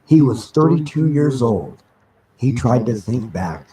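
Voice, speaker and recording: A man reading a novel aloud live as dramatic narration, with deliberate, halting phrasing. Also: mid-range voice, big pitch swings, a crowd in the background. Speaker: reader of an audiobook. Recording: noisy amateur live recording with background ambience. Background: background ambient sounds.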